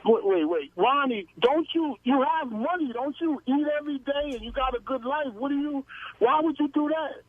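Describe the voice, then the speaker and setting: A man's voice: extremely high pitch, normal speed, dry recording. A man calling a radio show by phone, talking casually and stammering as he repeats words. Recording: dull, dry phone line.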